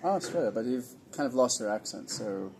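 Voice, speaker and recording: A man from California hosting a casual interview at an event venue, easygoing and rambling with pauses. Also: medium pitch, lively intonation, noisy background. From California, USA. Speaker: host of a nomad community event.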